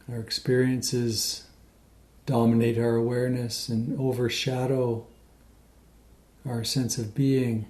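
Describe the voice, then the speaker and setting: A man leading a guided meditation in a gentle, unhurried way with many pauses. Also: medium pitch. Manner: slow, pausing, gentle delivery.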